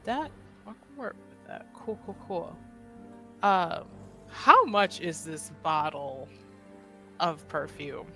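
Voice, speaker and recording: A woman's voice: fairly low pitch, very animated, takes it slowly, vocal fry, pauses often, fairly bright tone, soft background music. A woman playing a tabletop roleplaying game, chatting informally and thinking aloud about her choices. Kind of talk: casual actual-play chat.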